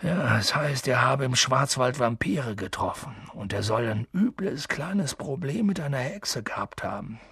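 A man's voice: medium pitch, lively intonation, unhurried, gravelly, hardly any pauses, a bit bright, breathy edge, dry recording, clean studio sound, heavily acted. A man voicing a big, kindly, protective fellow who talks in casual clipped phrases, in an audiobook. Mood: sympathetic, gossiping. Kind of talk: audiobook character voice.

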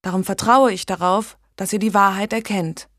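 A woman's voice: mid-range voice, fairly even intonation, moderate pace, fairly bright tone, no room sound, studio quality, pitched above the performer's own voice, natural voice. A woman voicing a pious young noblewoman who earnestly pleads with a king, in a storybook reading. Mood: fervent. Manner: earnest, imploring.

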